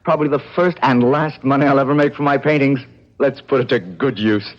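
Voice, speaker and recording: An actor with an American accent playing an excitable, haunted struggling painter in a radio drama, in a theatrical manner. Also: male voice, mid-range voice, fairly quick, soft background music, close to an everyday voice. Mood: elated, eager. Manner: emotional, theatrical. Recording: dull lo-fi vintage radio recording.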